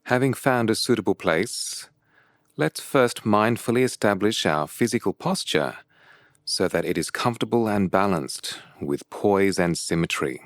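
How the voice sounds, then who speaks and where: Slow, low-voiced man guiding meditation. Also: no room sound, studio quality. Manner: calm, measured, deliberate phrasing with pauses. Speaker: meditation teacher. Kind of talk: guided meditation instruction.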